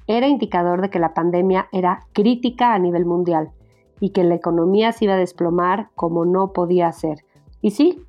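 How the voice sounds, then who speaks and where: A Mexican woman in her forties hosting a podcast interview, chatty and expressive, recording remotely. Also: medium pitch. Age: 40s. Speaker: podcast host.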